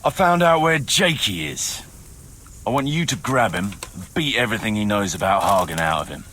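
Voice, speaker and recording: An actor playing a gruff, commanding leader of a mercenary band in a video game cutscene, blunt and authoritative. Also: male voice, lowish voice, lively intonation, moderate pace, sharp tone, a little hiss or hum. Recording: music behind the voice. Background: music.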